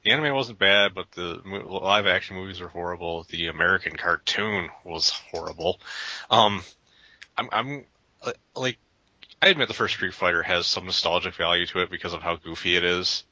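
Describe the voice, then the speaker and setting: Low-voiced man, sharp and sarcastic. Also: moderate pace, dry recording, rough recording. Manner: sarcastic, blunt opinions. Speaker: podcast co-host. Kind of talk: casual chat.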